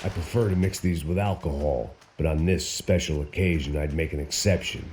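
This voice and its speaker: An actor with an American accent playing a cynical, hard-drinking middle-aged action hero, narrating in a world-weary, sardonic noir style. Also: male voice, a bass voice, fairly quick, noisy background. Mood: bitter, weary. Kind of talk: hardboiled noir game narration.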